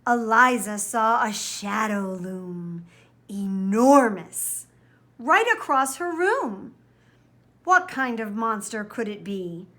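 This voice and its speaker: A woman's voice: mid-range voice, expressive, takes it slowly, pushed and bright. A woman reading a children's picture book aloud expressively, with dramatic, careful emphasis. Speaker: storybook reader.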